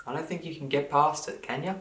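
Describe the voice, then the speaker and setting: A man's voice: a little high, fast, fairly bright tone, husky. A man in his early twenties with an Australian accent, chatting casually and playfully as he answers viewer questions. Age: early 20s. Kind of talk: casual Q&A video chat.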